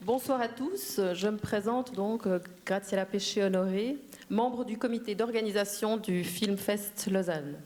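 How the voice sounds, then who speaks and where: A mid-pitched woman speaks for a festival committee. She delivers prepared remarks in a brisk, formal way, naming people and sponsors one after another. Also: darkish tone. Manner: brisk, formal, reading lists of thanks. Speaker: festival organizer. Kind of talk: prepared thank-you speech. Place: cinema hall.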